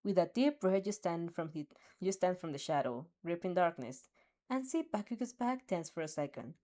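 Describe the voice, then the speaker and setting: A young woman reading a second-person fanfic aloud, expressive and giggling at her own slips. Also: lowish voice, normal speed. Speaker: fanfic reader.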